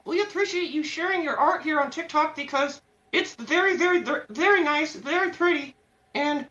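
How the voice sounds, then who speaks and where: A woman's voice: high-pitched, sharp tone. A woman hosting a casual live-stream interview, enthusiastic and slangy. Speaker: show host. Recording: muffled lo-fi audio.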